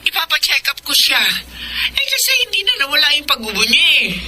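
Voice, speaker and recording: A woman acting a gentle, considerate middle-aged mother in a radio drama, with a Filipino accent. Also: sits high, very animated, a little fast. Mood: concerned, gentle. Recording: clear background ambience noise. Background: ambient noise.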